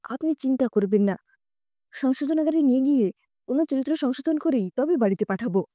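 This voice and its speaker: A firm policeman played by a woman narrator in an audio story. He speaks formally and respectfully, reassuring yet moralising, in a mellow voice with a dry sound. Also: high voice, animated, a little fast, no echo. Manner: formal, assuring.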